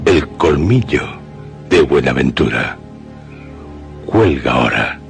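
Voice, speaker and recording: A man with a very low voice and a Castilian accent hosts radio horror. He narrates solemnly and ominously, with faint music underneath. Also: animated, slow, creaky. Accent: Castilian Spanish. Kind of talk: dramatic scripted horror narration.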